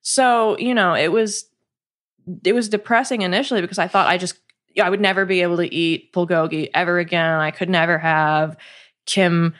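A woman guest talking casually in an interview, chatty and quick to laugh, with plenty of fillers. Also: lowish voice, steady tone, a bit bright. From United States, partly raised in South Korea. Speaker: podcast guest, cookbook coauthor.

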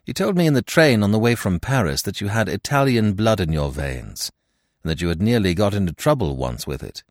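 A lovestruck young gentleman in an audiobook, played by a man. His lowish voice moves expressively up and down. He sounds earnest, intense and protective. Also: expressive, a little fast, no room sound, clean studio sound. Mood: accusing. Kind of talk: audiobook character dialogue.

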